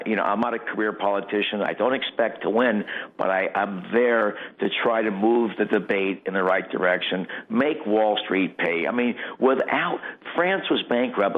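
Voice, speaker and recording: A narrow, phone-like line carries a low-pitched American man arguing politics off the cuff. He is emphatic and rambling, repeating himself for stress as an interview guest. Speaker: guest, mayoral candidate.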